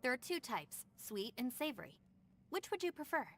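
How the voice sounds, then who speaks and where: A woman voicing a calm, sensible young gang deputy in a video game, composed and polite. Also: high-pitched, moderate pace, a little hiss or hum, full character voice. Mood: calm, helpful.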